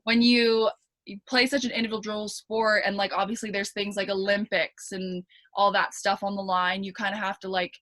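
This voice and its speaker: A woman's voice: medium pitch, level tone, moderate pace, fairly bright tone. A young woman with a Canadian accent, a pro tennis player chatting as a podcast guest, with plenty of fillers, restarts and easy laughs. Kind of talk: casual interview chat.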